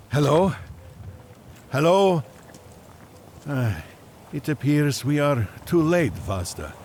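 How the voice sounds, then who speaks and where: An actor playing an earnest, devout Magian traveler on an urgent quest, speaking in solemn, formal, old-fashioned language for an audio drama. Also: male voice, medium pitch, slight background noise.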